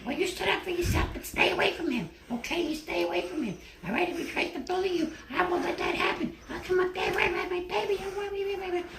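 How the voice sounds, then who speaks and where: A woman's voice: extremely high pitch, fast talker, gravelly, few pauses, background noise. A woman voicing a caring, protective mother who gives soothing, urgent reassurance, partly whispered. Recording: roomy, distant home recording.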